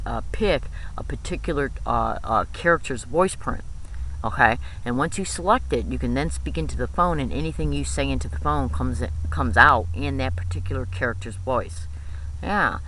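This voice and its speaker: A man's voice: mid-range voice, pushed and bright, a little hiss or hum, low-quality recording. A man giving an explanatory monologue in an insistent, repetitive way, often checking in as he goes. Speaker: video host.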